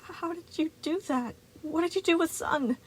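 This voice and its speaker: A woman voicing a frightened, indignant young boy with a squeaky voice, stammering and protesting in a story reading. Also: moderate pace. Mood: startled, indignant.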